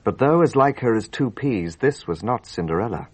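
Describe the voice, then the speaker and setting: Husky, animated gentleman. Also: fairly low pitch, moderate pace. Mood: grave narration. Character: earnest, impulsive English gentleman, detective's friend and narrator. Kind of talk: radio drama narration and dialogue.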